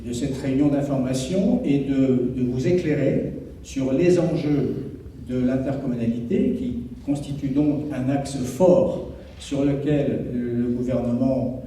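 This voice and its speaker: A man, a municipal official, gives a formal, explanatory introduction to a public meeting in measured, hesitant phrases. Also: mid-range voice, mellow. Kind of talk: formal public address, partly improvised. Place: echoey indoor meeting room. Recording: echoey hall, distant mic, faint noise.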